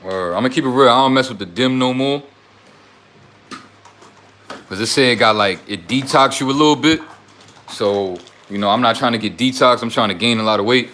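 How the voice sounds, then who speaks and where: A man's voice: mid-range voice, normal speed, long pauses, sharp tone, slight background noise. A young man casually livestreaming to his chat, talking in loose streetwise slang, blunt and preachy, rambling from one topic to the next. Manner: rambling, drifts between topics. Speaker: self-styled life coach.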